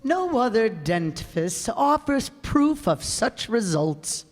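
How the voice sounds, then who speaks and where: A woman's voice: a little high, very animated, takes it slowly. A woman reading a vintage radio commercial as an announcer, with an emphatic, declarative sales delivery. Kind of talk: 1940s radio advertisement reading. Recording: very echoey hall stage recording.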